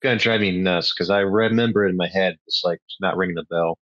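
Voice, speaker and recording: A male guest in a casual group chat who chimes in with quick, wry remarks. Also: low-pitched, dry recording. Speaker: movie club guest. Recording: dull, dry lo-fi mic.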